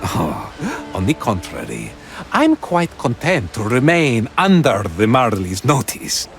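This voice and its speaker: Game role: smug gentleman pirate, theatrical and teasing, very slow, hugely animated pitch. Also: vocal fry, faint music behind, full character voice. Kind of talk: video game voice acting.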